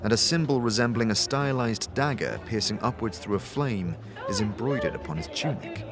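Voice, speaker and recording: A man reading scripted second-person fantasy narration as an expressive storyteller. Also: fairly low pitch, normal speed. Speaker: narrator, game master. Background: music.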